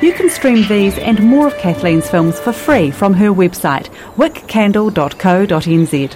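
A woman's voice: medium pitch, lively intonation, measured pace. New woman presenting over music. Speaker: podcast presenter and producer. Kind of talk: scripted podcast outro narration.

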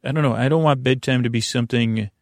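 A man hosting a sleep podcast in a meandering, halting monologue, with a creaky voice, often repeating himself and trailing off mid-thought. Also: medium pitch, no room sound, studio quality. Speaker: podcast host.

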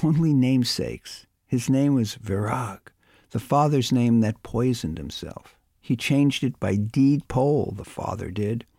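A man voicing a knowing, gossipy Dublin pub regular who explains things matter-of-factly, with a husky, lively voice. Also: medium pitch, a little slow, dry recording, clean studio sound, heavily acted.

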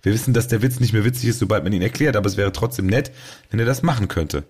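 A man's voice: fairly low pitch, fast, a little mellow, slightly rough. A man hosting a podcast in casual, conversational chat. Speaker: podcast host.

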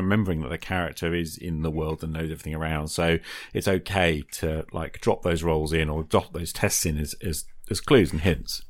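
A man hosting a casual interview, talking conversationally and checking in with his guest as he goes. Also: low-pitched. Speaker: podcast host, interviewer.

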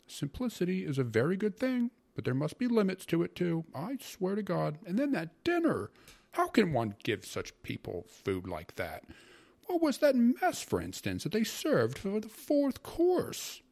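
High-pitched, peevish, pompous old-world gentleman. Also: sing-song, unhurried, creaky, breathy edge, full character voice. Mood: indignant grumbling. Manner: grumbling, complaining, dramatically emphatic. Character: peevish, self-pitying, pompous uncle, a hypochondriac government official.

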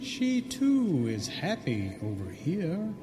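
An actor playing the solemn ghost of a dead uncle at a séance, intoning in a drawn-out, otherworldly way in a radio drama style. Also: male voice, a little high, wildly swooping pitch, in a large hall, over music, exaggerated voice. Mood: soothing, solemn. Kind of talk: 1940s radio drama acting.

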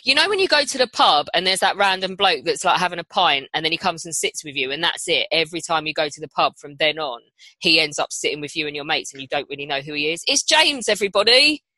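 A woman's voice: deep voice, animated, fast talker, sharp tone, no echo, low-quality recording. A woman with a British accent hosting a casual panel chat in an irreverent, sweary, teasing manner. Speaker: podcast host.

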